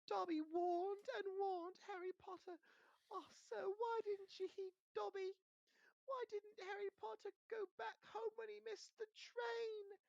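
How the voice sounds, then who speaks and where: A reader voicing a weepy, servile male house elf, very high, slow and pleading. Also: steady tone, creaky, darkish tone, dry recording, lo-fi sound, exaggerated voice. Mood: miserable pleading.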